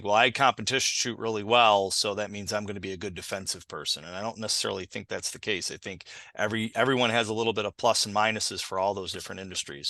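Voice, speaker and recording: A man co-hosting a podcast, chatting in a quick, eager way. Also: lowish voice, bright, forceful tone, dry recording. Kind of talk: casual podcast chat.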